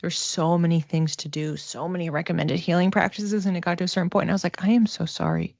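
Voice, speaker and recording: A dry, slightly dull lo-fi recording of a woman with a lowish voice. She speaks casually as a psychic reader, improvising and thinking aloud, often pausing and hedging as she goes. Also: level tone, creaky, a little mellow, dry recording. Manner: tentative, conversational, thinking aloud with pauses. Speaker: psychic reader giving live online readings. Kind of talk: casual live reading, improvised explanation.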